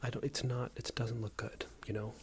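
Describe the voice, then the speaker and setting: A man playing a smug, unreasonable boss in an ASMR roleplay, scolding an employee in a soft breathy whisper with a hesitant, condescending manner. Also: flat delivery, fairly quick, a little hiss or hum, natural voice. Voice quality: breathy soft whisper with low creaky murmur. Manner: hesitant, condescending, meandering reprimand.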